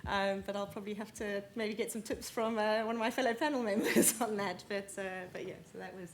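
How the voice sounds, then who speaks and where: A woman with a South African accent introducing herself on a conference panel, friendly and quick to laugh. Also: medium pitch, steady tone, a little hiss or hum. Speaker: panelist, analytics team lead.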